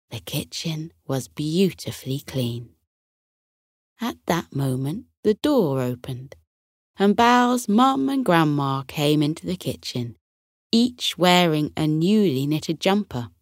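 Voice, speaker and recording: A woman narrating a children's bedtime story in a gentle, expressive storytelling manner. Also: fairly low pitch, dry recording, studio quality.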